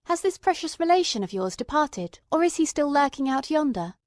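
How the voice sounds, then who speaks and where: A woman's voice: high-pitched, very animated, fairly quick, darkish tone, no echo, studio quality, pitched above the performer's own voice. A woman voicing an inquisitive male doctor turned investigator in a mystery drama, firm, emphatic and questioning. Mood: probing.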